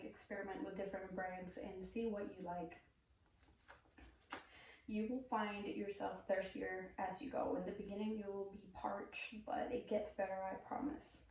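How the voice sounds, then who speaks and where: A woman's voice: medium pitch, a little mellow, a little hiss or hum. Puerto Rican woman, echoey distant speech. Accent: Puerto Rican Spanish. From Puerto Rico. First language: Spanish. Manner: earnest, direct, occasional "um" fillers. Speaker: speaker giving a persuasive speech. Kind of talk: prepared persuasive speech. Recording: very echoey room, mic far off.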